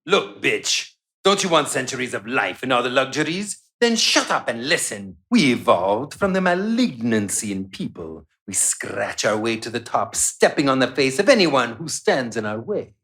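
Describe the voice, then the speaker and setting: Radio play villain: smug vampire boss, condescending and theatrical, widely swinging pitch. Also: male voice, big pitch swings, normal speed, a bit bright. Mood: domineering. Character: gloating, menacing male vampire doctor and boss. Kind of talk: live radio play acting.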